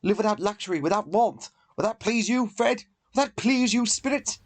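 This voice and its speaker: A man's voice: high-pitched, normal speed. A man playing a bitter, spiteful miser in a radio play, theatrical and full of disdain. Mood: spiteful outburst.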